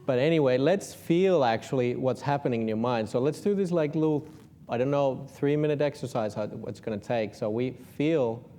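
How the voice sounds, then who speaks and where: A man from Finland with a Finnish accent, a Buddhist monk leading a guided meditation in an informal, gently joking way with soft, slow guiding phrases. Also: mid-range voice, a little hiss or hum.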